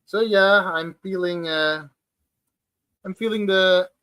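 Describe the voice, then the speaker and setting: A forty-year-old man chatting casually on a livestream from his floor at home. His voice sits a little high and rings bright and forward. He talks unhurriedly, stumbling through restarts and filler words as he checks his notes. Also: slow, clean tone. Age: 40s. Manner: hesitant, many fillers and restarts; reads out viewer comments. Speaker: livestream host.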